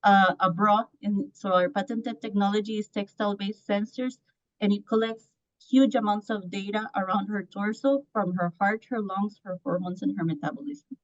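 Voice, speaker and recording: A woman's voice: mid-range voice, fairly even intonation, normal speed. Woman from costa rica, 30s, founder talking on a panel, earnest, kinda muffled sound. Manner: earnest, lots of restarts and fillers. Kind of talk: panel discussion answer. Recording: muffled lo-fi audio.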